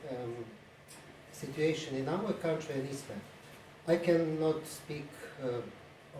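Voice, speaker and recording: A man who is not a native English speaker gives a formal conference speech in a halting, hesitant way. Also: a little high, dark tone. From Ukraine-born, lived mostly in Estonia. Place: indoor conference room. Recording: echoey room, distant mic, faint noise.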